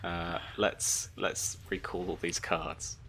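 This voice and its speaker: A woman's voice: rumbling low, flat delivery, takes it slowly, gravelly, a bit bright, slight background noise. A woman narrating a tabletop game as game master in a casual, conversational way.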